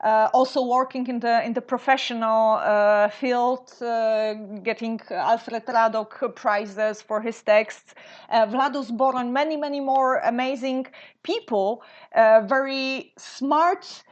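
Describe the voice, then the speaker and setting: A Polish woman in her thirties, a guest speaking in an interview, often pausing on fillers and restarting her sentences. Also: on the high side. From Poland. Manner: hesitant, many fillers and restarts. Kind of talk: interview conversation. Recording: dull lo-fi sound.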